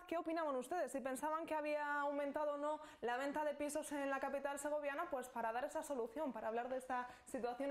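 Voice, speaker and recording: A woman with a fairly high voice hosts a TV magazine show at a rapid clip. She moves from question to question and into the breaks in an upbeat presenter's manner. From Spain. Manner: brisk and upbeat, scripted links. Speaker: TV magazine host. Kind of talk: TV interview hosting.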